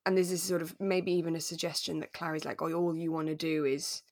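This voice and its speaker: A woman co-hosting a podcast in relaxed, informal, readily amused chat, recapping as she goes. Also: deep voice, quick pace, no echo, clean studio sound. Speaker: podcast co-host.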